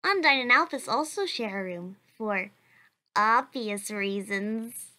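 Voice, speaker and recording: A woman's voice: on the high side, lively intonation, slow, heavily acted. A woman voicing a cheerful, earnest child who answers questions for a blog in a comic dub. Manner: bright, chatty, earnest.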